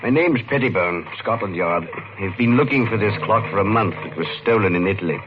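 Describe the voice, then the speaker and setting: An actor playing a brisk, authoritative detective in an old radio mystery. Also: male voice, on the low side, natural voice. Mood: stern. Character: stern, official Scotland Yard detective. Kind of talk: 1940s radio drama acting. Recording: dull vintage radio recording.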